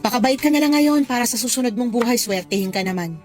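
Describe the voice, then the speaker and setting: Radio drama role, female actor: frank Filipino best friend, practical mom, wry and teasing, slightly high pitch, faint music bed. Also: lively intonation, quick pace, close to an everyday voice. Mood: blunt, teasing. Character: blunt, teasing, practical working mother and friend. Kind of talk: Tagalog radio drama acting. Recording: music bed behind the dialogue.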